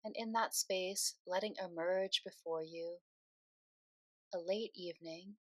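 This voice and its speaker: A woman leading a guided meditation in a soothing, unhurried way, speaking phrase by phrase with pauses. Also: lowish voice, fairly even intonation, a bit bright, no echo.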